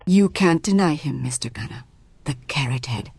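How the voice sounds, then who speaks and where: An actress playing a troubled, inquisitive woman in a crime radio play, with a husky voice. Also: sits low, expressive, moderate pace, a little mellow, no room sound, full character voice. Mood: anxious, inward. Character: troubled, inquisitive woman quietly investigating an accident. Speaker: voice actor in a crime audio drama.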